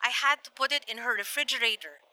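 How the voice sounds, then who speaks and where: Woman playing a soft-spoken, worried old grandmother. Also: on the high side, fast, dry recording, rough recording. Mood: sad, apologetic. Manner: soft, hesitant. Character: gentle, worried elderly Filipino grandmother.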